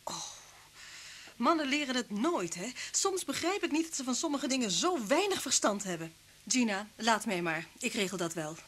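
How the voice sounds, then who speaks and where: A woman's voice: a little high, sing-song, normal speed, fairly bright tone. An actress playing a motherly, good-humoured and capable inspector's wife in a radio drama. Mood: kindly, reassuring. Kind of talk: radio drama acting and narration. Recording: old hissy radio recording.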